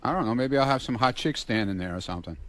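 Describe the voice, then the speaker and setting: A man's voice: mid-range voice, animated, fast talker, a bit bright, slight background noise. A man bantering casually on a radio show in a blunt, colloquial way.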